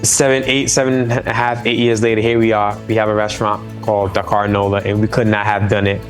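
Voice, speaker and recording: A man's voice: fairly low pitch, level tone, fairly quick, faint music behind, low-quality recording. A man from Senegal, a chef guest on a live talk show, talking enthusiastically over a remote link. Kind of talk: remote interview.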